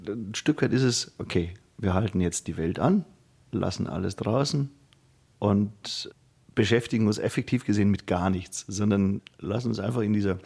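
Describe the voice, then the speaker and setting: Reflective man with a creaky low voice. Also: a little mellow. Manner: reflective, hedging, colloquial. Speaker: interviewed fan. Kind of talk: casual interview chat.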